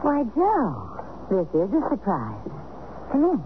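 A woman's voice: mid-range voice, huge pitch swings, slow. Flirty, needling woman, noisy recording. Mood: flirtatious. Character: bold, knowing single woman flirting with married man. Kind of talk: 1970s radio drama acting. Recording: muffled, noisy old radio broadcast recording.

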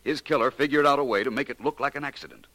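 An American actor playing a shrewd amateur detective in a 1940s radio mystery, articulate, coolly logical and slightly superior. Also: male voice, medium pitch, very fast, sharp tone, dry recording, lightly acted, rough recording. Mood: assured, insistent. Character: shrewd, unhurried male amateur detective solving a murder.

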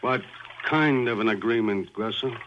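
An actor playing a sly, insinuating shipwreck survivor in a 1940s radio drama. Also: male voice, mid-range voice, normal speed, fairly bright tone, slight background noise, natural voice. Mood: suspicious. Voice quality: probing, insinuating manner. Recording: dull old radio broadcast recording.

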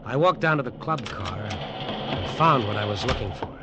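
An American actor playing a cold, smooth-talking bodyguard and killer, narrating a vintage radio drama in a terse, deliberate, hard-boiled manner. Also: male voice, fairly low pitch, expressive, very noisy, close to an everyday voice. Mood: brooding. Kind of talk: 1940s-50s radio drama noir narration. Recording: vintage radio broadcast with music behind.

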